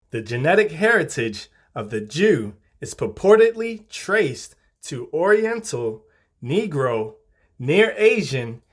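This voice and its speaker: Male narrator, fairly high voice, formal and measured, for scripted reference-style reading. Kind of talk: scripted narration, reading dictionary and encyclopedia entries.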